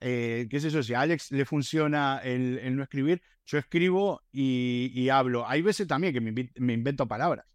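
A man's voice: medium pitch, normal speed, few pauses, clean tone, dry recording. A man chatting casually on a podcast panel, telling stories in a rambling, self-deprecating way. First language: Spanish. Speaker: podcast host and video creator.

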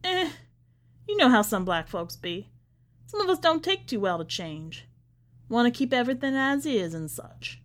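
A woman's voice: a little high, big pitch swings, normal speed. A woman voicing a rambling, devout, evasive church woman with folksy speech in an audiobook story. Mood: explaining. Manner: chatty, folksy Southern-style church talk. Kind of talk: acted storybook dialogue.

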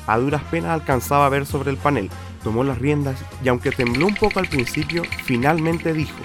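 A man's voice: medium pitch, a little fast. A man with a Chilean accent narrating a scripted radio play in a colloquial storytelling manner. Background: music.

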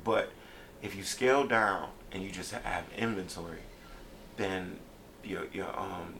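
A man from Brooklyn, a rapper as a guest, talking casually in a laid-back, meandering way with many pauses. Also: deep voice, fairly bright tone, a little hiss or hum. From Brooklyn, New York. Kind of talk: casual interview chat.

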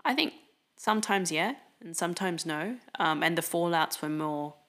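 A woman's voice: on the low side, animated, moderate pace, fairly bright tone. A woman from Australia, co-hosting a podcast and speaking thoughtfully in casual conversation. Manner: thoughtful, reflective, filler-heavy. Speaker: podcast co-host.

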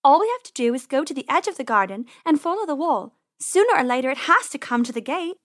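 A woman acts a little girl rabbit from a children's storybook. The voice is very high and urgent. She is a brave, protective sister who likes to take charge. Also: very animated, fast talker, a bit bright. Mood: bossy, determined.

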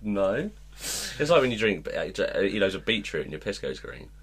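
A man with a very low, deep voice talks casually about football, meandering through stories and leaning on "like" as he goes. His delivery is loose and unhurried, the tone of a relaxed chat between friends. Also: slightly rough. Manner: rambling, full of "like" fillers. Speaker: podcast co-host.

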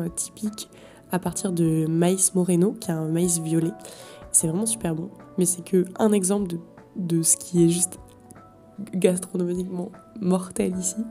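A young woman from France speaks about her year abroad in a low, mellow voice. She talks casually and effusively, full of fillers and restarts. Faint music plays underneath. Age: young adult. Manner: enthusiastic, effusive, with many fillers. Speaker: exchange student. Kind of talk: casual testimonial.

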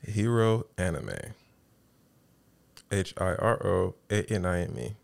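Low-voiced, easygoing man co-host. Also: vocal fry. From United States. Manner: halting, searching for words, easygoing. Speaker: podcast co-host. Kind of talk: casual podcast chat.

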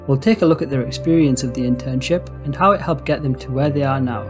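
A man's voice: mid-range voice, fast. A young man hosting a podcast, reading a scripted introduction in an upbeat, formal way. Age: young adult. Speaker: podcast host. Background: faint background music.

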